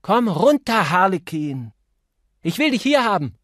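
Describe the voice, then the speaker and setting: A man's voice: high voice, sing-song, measured pace, a bit bright, no echo, studio quality, heavily acted. A man voicing a flighty, spoiled young woman who chatters in a gushing, insistent way, as an audiobook character. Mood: petulant, demanding. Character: flighty, spoiled young party woman, petulant and craving thrills.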